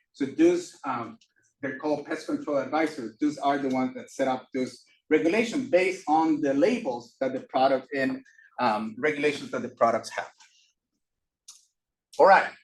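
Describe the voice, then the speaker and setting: A man from Costa Rica giving a classroom lecture as a professor, halting with many fillers and restarts. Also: on the high side. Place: lecture room. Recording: echoey room from far off.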